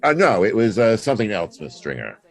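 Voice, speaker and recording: An actor playing a wisecracking, flirtatious private detective in a hard-boiled audio drama, wry in both narration and dialogue. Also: male voice, on the low side, huge pitch swings, brisk, gravelly, exaggerated voice. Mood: casual, probing. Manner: wry, drawling asides with flirtatious endearments.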